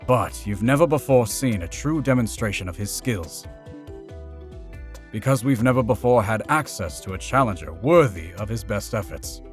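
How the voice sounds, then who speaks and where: A man's voice: lowish voice, expressive, normal speed, soft background music, close to an everyday voice. A man playing a formal fencing master making commanding announcements in a comic dub. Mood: stately. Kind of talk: comic dub character acting.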